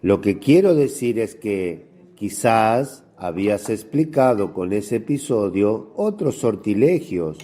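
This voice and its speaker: A man's voice: on the low side, sing-song, takes it slowly, few pauses, mellow, exaggerated voice. A man playing a suspicious, probing Pharisee in a religious radio drama, questioning with insinuation.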